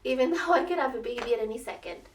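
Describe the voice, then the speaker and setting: Young woman, casual vlog storyteller, faint rasp, chatty and expressive, roomy echoing sound with a distant microphone. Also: a little high, very fast, slight background noise. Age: young adult. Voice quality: slightly raspy. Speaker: vlogger telling her birth story. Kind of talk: casual personal storytelling to camera.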